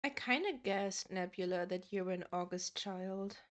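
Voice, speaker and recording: A woman's voice: mid-range voice, unhurried. A woman in her twenties chatting casually with her stream viewers, laughing often and reading out their messages. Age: 20s. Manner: chatty, giggly, reads chat aloud.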